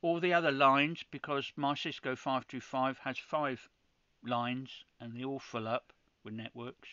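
A man's voice: mid-range voice, fairly even intonation, a little slow, pushed and bright, clean tone, no echo, lo-fi sound. A man from the UK hosting a ham radio channel, walking viewers through a setup step by step in a practical tutorial, with frequent restarts. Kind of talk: screen-recorded tutorial.

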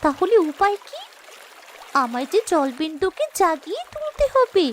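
A woman's voice: extremely high pitch, sing-song, normal speed, a little hiss or hum. A woman voicing a brave human child in a fairy-tale cartoon, speaking in an earnest, urgent way.

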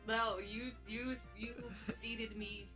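A woman's voice: on the high side, steady tone, slow, pushed and bright, soft background music. A woman chatting casually during a video game, protesting in a whiny, dramatic way. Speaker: player in a group video game match. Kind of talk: casual gameplay banter.

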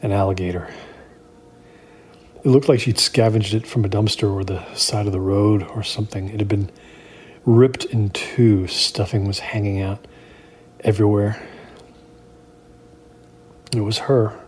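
Male horror audio-drama narrator, slightly deep, conversational and confiding, uneasy, hesitant; faintly noisy recording. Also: fairly even intonation, measured pace, pauses often, a little mellow. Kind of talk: first-person diary-style horror narration, performed conversationally. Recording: clear background noise.

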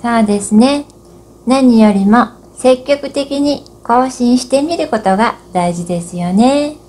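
A woman's voice: a little high, unhurried, mellow. A middle-aged woman giving scripted comments as the co-host of an instructional show. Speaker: co-host of an amateur radio Morse code channel.

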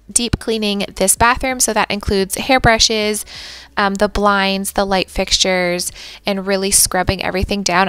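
A woman's voice: mid-range voice, fairly bright tone, clean tone, no room sound. A woman in her thirties, a mother of three, narrating a cleaning vlog in a friendly, chatty voice-over with light laughs. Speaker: vlogger and mom.

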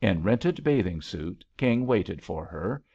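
A man's voice: low voice, expressive, slow pace, vocal fry, dark tone, slightly rough. A man reading an old-fashioned love story aloud as a scripted audiobook narration. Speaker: audiobook reader. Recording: slightly dull, lo-fi audiobook recording.

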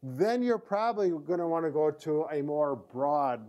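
A man's voice: a little high, moderate pace, darkish tone, highs cut off. An American man in his fifties giving a casual, conversational instructional demonstration as a physical therapist. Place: indoor therapy room.

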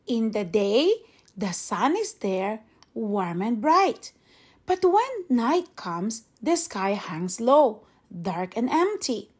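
A woman narrating a children's storybook aloud in an expressive, deliberate storytelling manner. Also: a little high, husky. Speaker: storybook reader, channel host.

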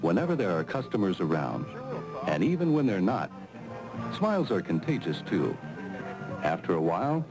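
Low-voiced American man narrating, music behind. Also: very animated, normal speed, pauses often, low-quality recording. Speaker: narrator of a staff training film. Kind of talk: scripted narration addressed to the viewer. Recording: 1970s film soundtrack, music behind.

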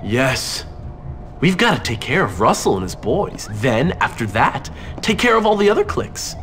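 A man's voice: medium pitch, big pitch swings, normal speed, a bit bright, a little hiss or hum. A man voicing a scheming teenage schoolboy in a video game, with a sneering, theatrical, sarcastic manner. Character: scheming, mocking teenage schoolboy with big ambitions. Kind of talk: video game cutscene acting.

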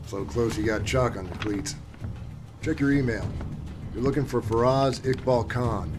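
A man playing a calm, gruff military handler in a video game, terse, slangy and authoritative. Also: mid-range voice, unhurried, over music, lightly acted. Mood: calm, firm. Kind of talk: dramatic game voice acting.

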